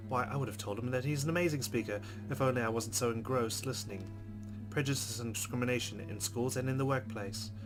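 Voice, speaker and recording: A man hosting a let's play, expressively reading a visual novel's narration aloud and adding his own reflective reactions. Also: mid-range voice.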